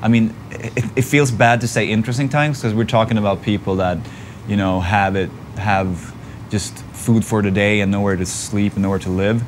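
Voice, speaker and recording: A man from northern Sweden with a Swedish accent, a band member calmly explaining in an interview. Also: on the low side, moderate pace, a little hiss or hum. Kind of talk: casual interview answers.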